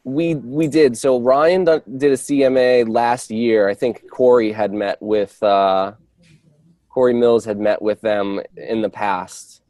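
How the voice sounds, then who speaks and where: Guy giving a work update on a call, kinda halting, soft mellow tone, really dry sound. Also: medium pitch, fairly even intonation, no echo. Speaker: real estate agent reporting on appointments. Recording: dry conference call audio.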